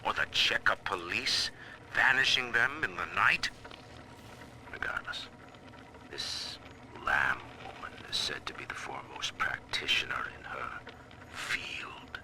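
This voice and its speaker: An actor playing a proud, disdainful city founder with a pompous, deliberate delivery in a video game. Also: male voice, deep voice, sing-song, bright, forceful tone, whispered, exaggerated voice, poor audio. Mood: grudging, resigned. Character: proud, disdainful middle-aged male city founder in audio diary. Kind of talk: dramatic monologue in video game.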